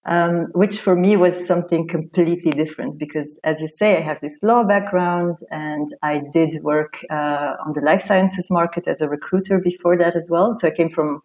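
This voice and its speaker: A woman's voice: lowish voice, fairly even intonation, moderate pace, few pauses, a little mellow, rough recording. A woman raised in Belgium, a guest on a podcast, talking in a friendly, chatty way with many fillers. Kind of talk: casual interview chat.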